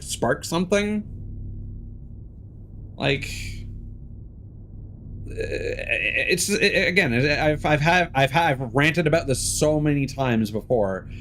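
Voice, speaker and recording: A man live-streaming a game in a casual, rambling way. His voice runs a little high with lively swings, sarcastic and exasperated, over faint game music.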